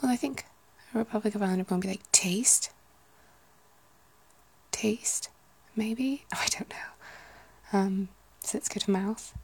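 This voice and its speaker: A woman's voice: mid-range voice, moderate pace, few pauses, darkish tone, breathy edge, rough recording. Whispering British woman in her thirties. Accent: British English. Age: 30s. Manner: whispering, musing, self-correcting. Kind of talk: whispered ASMR chat.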